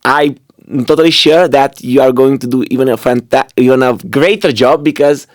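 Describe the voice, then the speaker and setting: A man hosting a podcast interview, asking questions and warmly praising his guest's answers. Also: medium pitch, normal speed, no room sound, studio quality. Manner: encouraging, enthusiastic praise. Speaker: podcast host. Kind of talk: interview conversation.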